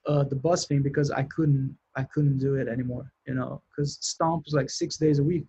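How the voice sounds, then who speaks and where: A man's voice: medium pitch, steady tone, moderate pace, warm tone, slightly rough, highs cut off. A man with a Brazilian accent, a sound engineer, telling his story in a casual interview and rambling with many restarts. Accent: Brazilian Portuguese. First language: Portuguese.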